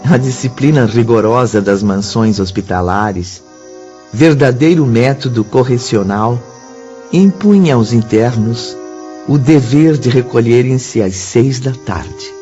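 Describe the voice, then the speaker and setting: Radio drama narrator, male, mid-pitched and dark-toned, formal literary delivery, slightly muffled. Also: slow. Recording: muffled sound with music behind.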